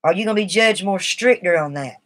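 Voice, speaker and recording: A woman's voice: fairly low pitch, fairly quick. A middle-aged woman, talking earnestly and at length on a live stream while shaky from nicotine withdrawal. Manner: rambling, earnest and confiding. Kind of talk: casual live stream talk.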